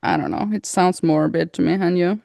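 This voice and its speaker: A woman's voice: on the low side, steady tone, brisk, vocal fry, mellow, no echo. A woman co-hosting a podcast in casual chat, informal and a little hesitant, often murmuring agreement. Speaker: podcast co-host.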